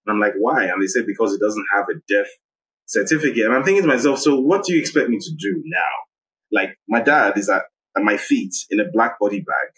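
A man in his thirties from Nigeria, speaking with a fairly low voice. He tells his story slowly and reflectively, circling back and restarting phrases as he works through it. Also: expressive, a bit bright, rough recording. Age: 30s. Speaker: guest, investigative journalist. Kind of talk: personal account in interview.

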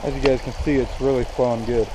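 A man's voice: mid-range voice, fast talker. A man in his thirties casually talking to viewers while hiking a rocky canyon creek bed, filming himself with a handheld action camera. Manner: relaxed, chatty, addresses viewers directly. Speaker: outdoor vlogger. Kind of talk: casual walking commentary to camera. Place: outdoors in a rocky mountain creek bed by flowing springs. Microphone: action camera held at arm's length. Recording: outdoor camera audio with clear stream and wind noise. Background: running water and wind.

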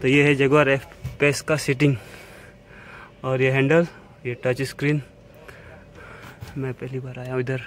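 A man's voice: medium pitch, a little slow, pauses often. A man casually narrating a walkaround vlog at an outdoor car expo, filming on a handheld phone. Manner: relaxed, plain commentary while walking. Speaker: vlogger. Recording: noisy outdoor phone recording.

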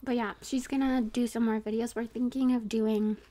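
A quiet young woman talking softly, often slipping into a whisper, while she eats with her sister on a living room couch. Her voice sits a little high, and a faint hiss runs under a table-mounted mic. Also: fairly even intonation, normal speed. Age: young adult. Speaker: channel host. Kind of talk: soft-spoken mukbang chat.

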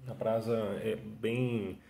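A man's voice: fairly low pitch, fairly even intonation, takes it slowly, gravelly, warm tone. A man in his thirties from Venezuela, speaking with a Venezuelan Spanish accent as a second-language speaker, telling his life story in a casual, chatty monologue with many restarts and tag questions. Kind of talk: casual self-interview monologue. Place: small room at home, indoors. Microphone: phone camera at arm's length. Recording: echoey room.

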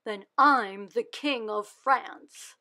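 A woman's voice: on the high side, drawn-out words, sharp tone, exaggerated voice. A woman reading aloud voices a skeptical working man who speaks plainly and doubtfully.

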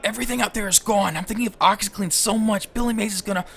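A young man playing a high-strung, sarcastic, foul-mouthed teenage schoolboy in a comedic audio drama, rambling and sardonic. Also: steady tone, fast. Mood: frantic, anxious.

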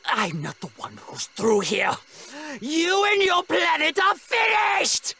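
An actor voicing a cruel, scheming alien tyrant in a video game, with a shrill, gravelly voice. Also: wildly swooping pitch, slow pace, bright, forceful tone, a little hiss or hum, heavily acted. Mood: gloating fury.

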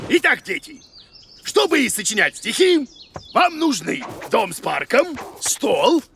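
Gravelly, pompous cartoon monster teacher. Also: male voice, very high-pitched, very animated, slow, a bit bright, slight background noise, full character voice. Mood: pompous, grumbling. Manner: pompous, hesitant blustering, exclamatory. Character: blustering, vain, grumpy bearded cartoon monster teacher. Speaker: comic teacher. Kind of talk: children's cartoon voice acting.

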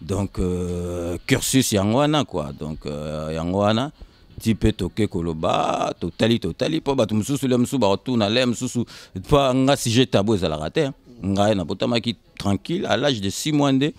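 Halting, low-voiced Congolese man in his fifties. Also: lively intonation, no echo. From Kinshasa, Congo. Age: 50s. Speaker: interview guest. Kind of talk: casual interview storytelling, switching between Lingala and French. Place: indoors on a sofa. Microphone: handheld microphone held by interviewer.

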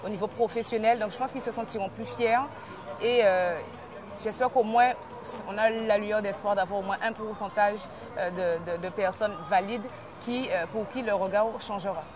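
A woman in her thirties speaks earnestly into a lapel mic, pausing often with fillers. She is in a large indoor exhibition hall, and the fair's crowd noise is clearly heard behind her. Her voice sits at a medium pitch. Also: fairly even intonation. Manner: earnest, hesitant, many fillers. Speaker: project manager, interviewee. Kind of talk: on-camera interview. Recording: noisy fair hall. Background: crowd noise of a trade fair.

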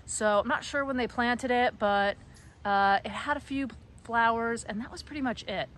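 A woman's voice: a little high, moderate pace, fairly bright tone, slight background noise. A middle-aged American woman giving a casual, enthusiastic walking tour of her backyard garden, chatting with lots of fillers, recorded outdoors on a phone. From United States. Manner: chatty, enthusiastic, frequent fillers and small laughs. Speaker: garden blogger.

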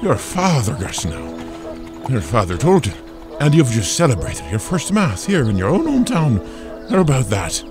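A kindly old parish priest, speaks in a folksy, fatherly way with proud affection. His pitch is medium, and music plays under this audio drama scene. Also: huge pitch swings, normal speed. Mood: fond, proud. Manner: folksy, avuncular, hesitating.

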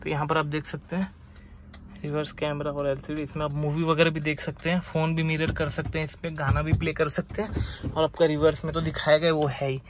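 A man, a used car dealer filming a walkaround of a car for sale and briskly listing its features and price. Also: a little high, level tone. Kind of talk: car walkaround and sales pitch. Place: outdoor car lot, then inside a car on the road. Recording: outdoor noise and traffic.

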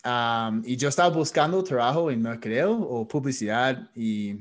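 A man's voice: medium pitch, moderate pace. A man of about forty from Australia, a native English speaker with an Australian accent, talking casually and hesitantly as an interview guest. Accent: Australian-accented Spanish. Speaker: podcast guest, author and sales consultant. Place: indoor home office, on a video call. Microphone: desktop studio microphone close to the mouth.

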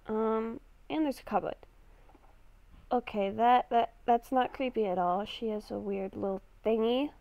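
A woman improvising in-character commentary for a game roleplay, speaking hesitantly and haltingly, with sarcastic asides. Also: sits high, a little mellow, no room sound. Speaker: gamer playing a roleplay series.

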